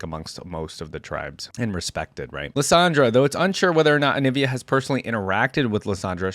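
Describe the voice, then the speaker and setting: A man's voice: deep voice, no echo. A man hosting a reaction video, reading lore aloud and breaking off into casual asides, often checking his points with "right?".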